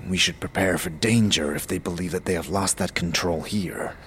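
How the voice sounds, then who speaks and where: A man's voice: sits low, normal speed, fairly bright tone, a little hiss or hum, pitched below the performer's own voice. A man playing a dry, matter-of-fact adventurer who leads his companions in an audio drama. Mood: businesslike. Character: dry, steady male adventurer leading companions. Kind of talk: audio drama character acting.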